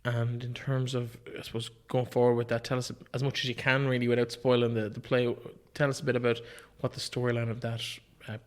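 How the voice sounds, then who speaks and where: A man's voice: medium pitch, level tone, fairly quick, hardly any pauses. A man hosting a conversational interview, putting informal questions to his guest. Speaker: interviewer, podcast host.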